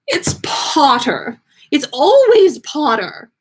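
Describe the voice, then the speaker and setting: A woman's voice: high voice, huge pitch swings, slow, voice pushed up, exaggerated voice, poor audio. A woman voicing a dramatic, anxious eccentric seer in an overwrought, theatrical way for a story reading. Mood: despairing.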